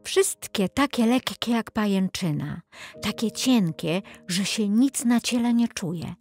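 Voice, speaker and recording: A woman narrates a children's fairy tale at a slow, measured pace. Her delivery is expressive, in the manner of a storyteller, and there is some music in the recording. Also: medium pitch. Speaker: audiobook narrator.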